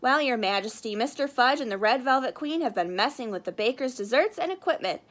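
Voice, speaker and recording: Woman playing a kind fairy baker, high voice, sincere, determined, expressive, storybook. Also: brisk. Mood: earnest. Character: earnest, kind winged heroine baker, loyal to her dog.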